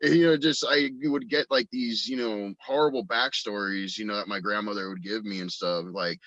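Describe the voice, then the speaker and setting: Bright, forceful low-voiced man, dull dry recording. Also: steady tone, normal speed, no room sound. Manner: rambling, profane storyteller, frequent "you know". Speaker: podcast guest, audio drama writer. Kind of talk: casual chat, anecdotes.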